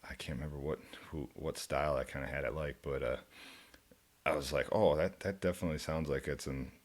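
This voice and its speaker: A young man with a deep, low voice, a country musician guest in a studio, talking in a laid-back, rambling way and laughing often. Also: steady tone, brisk. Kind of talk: casual interview chat. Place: radio studio.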